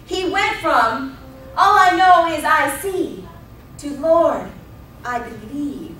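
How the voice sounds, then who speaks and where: A woman's voice: high-pitched, lively intonation, slow, fairly bright tone, far from the mic, slight background noise. A woman in her thirties preaching a sermon as pastor, telling the story with emphatic repetition. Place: church sanctuary. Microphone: pulpit mic at a distance. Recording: roomy church sound from off-mic.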